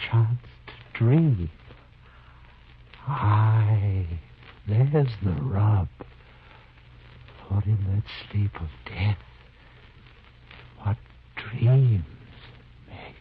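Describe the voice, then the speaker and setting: Slow, low-voiced doomed theatrical fugitive. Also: male voice. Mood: solemn reciting. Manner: theatrical, slow, deliberate delivery. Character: desperate, doomed wandering actor and murderer, Shakespearean, weary. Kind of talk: 1950s radio drama acting. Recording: muffled, noisy vintage radio recording.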